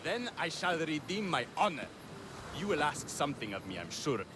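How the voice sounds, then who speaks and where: A proud, pompous man of ancient Greece, theatrical about his honour in a game scene. His voice is fairly high and swoops around. Clear outdoor noise surrounds him. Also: very animated, brisk. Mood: haughty. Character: proud, melodramatic, honour-obsessed Greek family man. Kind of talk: video game cutscene acting.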